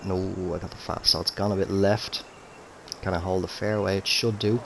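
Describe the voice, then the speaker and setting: A man's voice: sits low, fairly even intonation, normal speed, creaky, noisy background. A man giving casual commentary on a game into a headset at home, his voice a little throaty from a cold, chatty and easygoing with lots of fillers. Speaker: gaming commentator. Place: home room with TV and console. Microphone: gaming headset.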